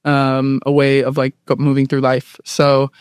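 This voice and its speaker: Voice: medium pitch, completely flat delivery, a little slow, clean tone, no room sound, studio quality. A guest in their late twenties from Southern California, who speaks with an American accent and chats casually, laughing easily and often saying "like" and "um". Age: late 20s. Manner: chatty, laughs easily, fillers like "like" and "um". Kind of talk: casual interview chat.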